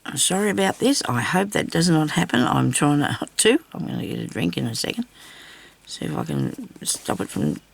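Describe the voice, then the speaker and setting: An older Australian woman with a low, husky voice roughened by a lingering cough, chatting casually and self-deprecatingly as she rambles through a craft video. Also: very animated, normal speed. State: lingering cough after weeks of sickness. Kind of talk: casual chat while hand stitching.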